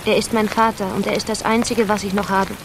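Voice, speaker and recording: A woman's voice: mid-range voice, flat delivery, quick pace, gravelly, noisy background, close to an everyday voice. An actress playing a guarded, resolute politician's daughter in a German radio crime drama, reserved and controlled in her delivery. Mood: earnest, guarded.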